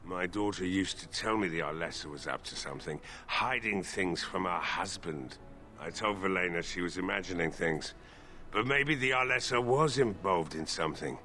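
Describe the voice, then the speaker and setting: An actor playing a despairing, stubborn old village blacksmith in a video game, gruff, weary and drunk. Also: male voice, low-pitched, normal speed, pushed and bright, soft background music. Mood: drunken, brooding. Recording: faint background noise.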